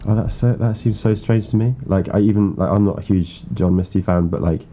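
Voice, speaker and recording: A man's voice: fairly low pitch, fairly even intonation, fairly quick, mellow. A young man in casual chat, curiously asking probing questions. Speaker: interviewer. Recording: muffled, dull sound.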